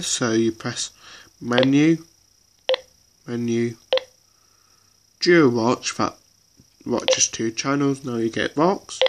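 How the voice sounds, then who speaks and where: A man presents an informal how-to in a slow, halting delivery. He repeats himself and keeps pausing to check in. His voice is mid-pitched, with faint noise on the homemade recording. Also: a bit bright. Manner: halting, repetitive, often checking with "right". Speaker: presenter of a tutorial. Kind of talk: informal hands-on demonstration.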